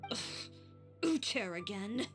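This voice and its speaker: An actress playing a blunt, proud young woman who designs fashion in a video game, with a crisp, direct and dismissive delivery. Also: medium pitch, big pitch swings, slow pace, music in the background. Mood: exasperated.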